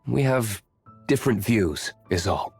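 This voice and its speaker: An actor playing an aloof, brooding young nobleman warrior in a video game, reserved and formal, speaking in measured, curt lines. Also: lowish voice, expressive, creaky, a little mellow, breathy edge, no room sound, faint music behind, exaggerated voice.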